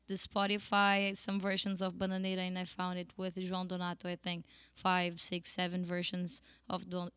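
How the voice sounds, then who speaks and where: A woman's voice: fairly low pitch, a bit bright, smooth, no echo, clean studio sound. A Brazilian woman with a Brazilian accent teaching a singing lesson in English, chatty and laughing often. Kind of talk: casual online lesson.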